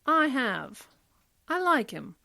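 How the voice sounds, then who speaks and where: A woman's voice: sits high, sing-song, slow, vocal fry, sharp tone, full character voice. A woman playing a composed, kindly, good-humored lawyer in an old-time style radio play. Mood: sympathetic.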